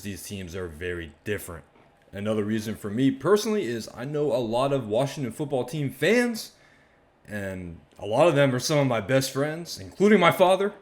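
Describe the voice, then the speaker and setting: A man from Maryland talks straight to his viewers in a casual, rambling way, full of energetic asides. His voice is very deep and low-pitched. It sounds hoarse and worn out. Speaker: sports fan channel host. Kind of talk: casual fan reaction monologue.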